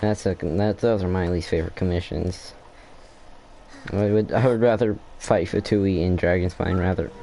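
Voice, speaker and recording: A man playing a game at home and chatting through it, thinking aloud in a rambling way. His voice is fairly low and mellow. He often trails off and restarts his thoughts. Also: steady tone, moderate pace, gravelly, a little hiss or hum. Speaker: gameplay streamer. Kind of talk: casual gameplay commentary.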